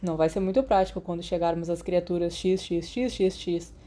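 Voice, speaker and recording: A wary, self-doubting schoolboy from a storybook, read by a woman, with a Brazilian accent. He mutters softly and hesitantly in a dark, mellow tone. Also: high voice, fairly even intonation, brisk, lightly acted. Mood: dry.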